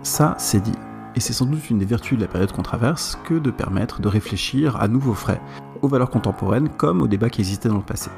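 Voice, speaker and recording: A man's voice: medium pitch, expressive, fairly quick, vocal fry, a little mellow, soft background music. A man hosting a podcast, reading scripted links between interview excerpts. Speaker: podcast host.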